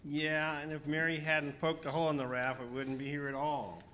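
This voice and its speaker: A sarcastic, grumbling male castaway, played by a man, speaks in a sharp, forceful voice set fairly high. It is radio comedy acting, with faint noise in the room. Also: fairly even intonation, normal speed.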